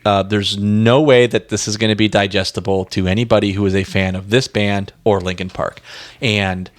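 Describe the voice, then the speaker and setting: A man's voice: fairly low pitch, fairly even intonation, normal speed, dry recording. A man co-hosting a music podcast, talking informally and emphatically, repeating words to make his point. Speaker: podcast co-host. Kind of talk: casual music discussion.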